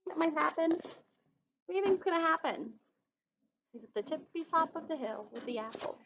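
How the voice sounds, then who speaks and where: A woman's voice: high voice, lively intonation, normal speed, gravelly, dull audio, background noise. A woman reading a children's story aloud in a teacherly way, stopping to put questions to her young listeners. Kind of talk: expressive children's read-aloud with asides and questions.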